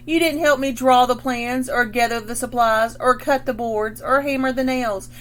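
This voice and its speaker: A woman voicing a determined young girl who builds things, asking eagerly in a bright voice. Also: on the high side, fairly even intonation, moderate pace, voice pushed up, close to an everyday voice. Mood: firm.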